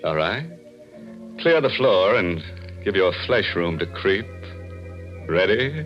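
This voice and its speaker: Muffled vintage radio: theatrical male horror host, low-pitched, macabre and playful. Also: big pitch swings, takes it slowly. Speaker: radio mystery show host. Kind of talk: 1940s radio host introduction, macabre joking. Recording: muffled vintage radio recording, faint music.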